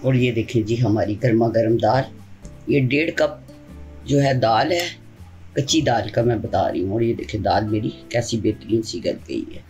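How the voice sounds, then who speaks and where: A middle-aged woman walks viewers through a home recipe, one step at a time. Her speech is unhurried and motherly, and she explains as she goes. Music plays clearly beneath her voice. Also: extremely low pitch, darkish tone. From Pakistan. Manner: homely, motherly, explains while she works. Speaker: home cooking channel host. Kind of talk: step-by-step cooking tutorial narration. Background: background music.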